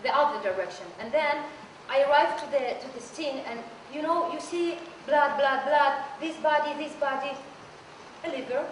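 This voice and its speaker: Woman, Russian accent, high voice, halting speech, echoey room from far off. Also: a little hiss or hum. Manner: halting, searching for words, repeats phrases. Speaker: storyteller. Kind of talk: telling a personal story in a workshop performance.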